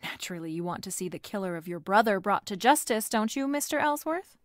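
A breezy female private detective, played by a woman in a noir audio drama. She is coolly playful and quick with a wisecrack. Her mid-range voice sounds close and dry. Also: medium pitch, animated, no echo, lightly acted. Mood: probing.

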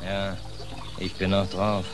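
An actor playing a ragged, weary, sardonic ship's stoker in a 1940s radio drama. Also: male voice, low-pitched, completely flat delivery, takes it slowly. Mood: weary, wry. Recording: noisy 1940s radio play recording.

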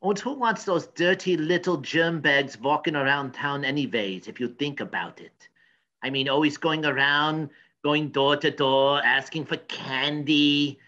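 A man's voice: a little high, a little slow, sharp tone, dull audio. A man playing a cranky, pompous professor in a comedy news sketch, rambling and stammering. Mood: disgusted ranting. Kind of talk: improvised mock news interview comedy.

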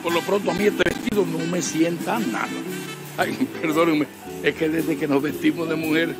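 Higher-pitched cheeky Dominican sidekick, a man acting, loud music. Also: steady tone, normal speed, lightly acted. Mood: mock-plaintive. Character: cheeky, stubborn amateur detective sidekick. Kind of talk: comedy film acting. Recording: loud music over dialogue.

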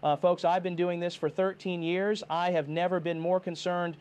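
A man's voice: sits high, level tone, normal speed, darkish tone, clean tone, lightly acted. A man playing a TV meteorologist in an analog horror drama, giving a live severe weather warning in a grave, insistent manner, addressing viewers directly. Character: urgent male TV meteorologist warning of deadly tornado. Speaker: TV weather forecaster.

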